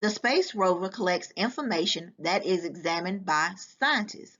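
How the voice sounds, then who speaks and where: A woman reading a nonfiction book aloud to children in a deliberate, instructive way. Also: fairly low pitch, expressive, a bit bright. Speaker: volunteer children's book reader. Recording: dull, lo-fi sound.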